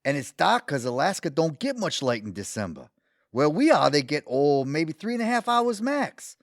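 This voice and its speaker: A man acts out an older New suitor in a radio-play comedy. His pitch leaps all over the place as he talks in a folksy, enthusiastic way. The sound is close and dry. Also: very animated, normal speed, no echo. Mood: cheerful, reassuring. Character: eager, sweet, older Brooklyn man wooing his date. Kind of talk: audio theatre comedy acting.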